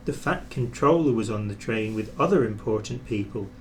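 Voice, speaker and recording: A man narrating a children's story in an expressive, scripted reading style. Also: fairly low pitch, normal speed, a little hiss or hum. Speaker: storybook reader.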